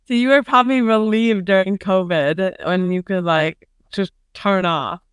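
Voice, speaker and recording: A woman co-hosting a podcast in casual chat, quick and joking, laughing often at her own lines. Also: mid-range voice, clear, resonant tone, no room sound, studio quality. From New York. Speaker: podcast co-host.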